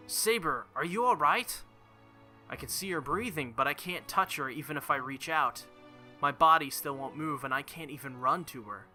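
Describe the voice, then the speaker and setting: A man's voice: mid-range voice, pushed and bright. A man hosting a let's play, reading visual novel text aloud in a dramatic narrating style. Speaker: let's play video host.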